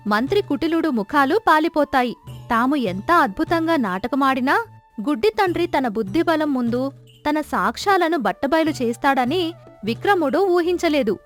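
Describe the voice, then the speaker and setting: A woman giving scripted narration of a story, as the narrator between acted scenes. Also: sits high.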